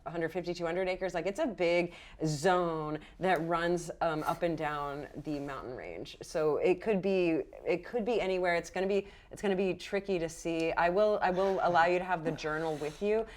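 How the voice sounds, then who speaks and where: A woman's voice: fairly low pitch, moderate pace, hardly any pauses. A woman narrating as game master of a roleplaying game, casual and encouraging in an improvised, conversational way.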